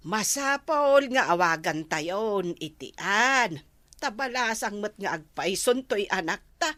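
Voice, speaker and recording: A woman with an Ilocano accent playing a gentle, motherly role in a family comedy sketch. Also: medium pitch, animated, takes it slowly, dry recording. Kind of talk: comedic family drama acting.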